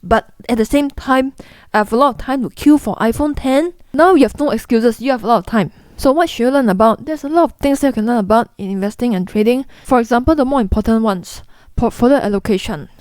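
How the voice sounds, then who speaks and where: Brief: young Singaporean-accented woman, fairly high voice, blunt and chatty vlogger, dry close recording. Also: a little mellow, no room sound. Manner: blunt, chatty, mimics people in quotes. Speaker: investing vlogger. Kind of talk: direct-to-camera explainer.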